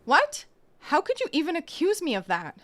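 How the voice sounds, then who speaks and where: A woman's voice: very high voice, huge pitch swings, moderate pace, a bit bright, exaggerated voice. A woman playing an indignant salmon mascot in an audio comedy, snippy and sarcastic. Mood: indignant, huffy. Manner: huffy, sarcastic.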